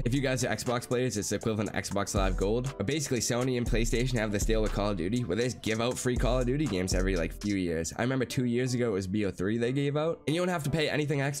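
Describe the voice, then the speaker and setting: A young man with a congested, nasal voice from a cold, chatting casually as he walks through a video game, with a steady stream of fillers. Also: fairly low pitch. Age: young adult. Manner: fast, chatty, with lots of "like" fillers. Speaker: gaming YouTuber. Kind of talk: casual gameplay commentary. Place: small bedroom.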